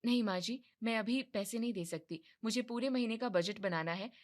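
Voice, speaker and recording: A woman voicing a thrifty young wife who refuses money in a quiet, firm, matter-of-fact way. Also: fairly high pitch, moderate pace, close to an everyday voice. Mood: firm calm.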